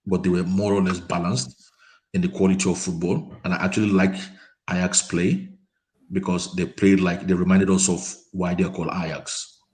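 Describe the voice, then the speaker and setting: A man giving his views in a casual football chat over a call. He hesitates often, repeating words and filling pauses with um. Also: sits low, level tone, darkish tone, poor audio. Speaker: panel guest. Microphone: remote call mic, quiet.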